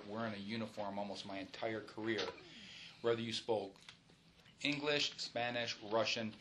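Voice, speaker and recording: A middle-aged police officer answering questions in a formal interview, firm and insistent. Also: male voice, on the low side, bright, forceful tone, a little hiss or hum.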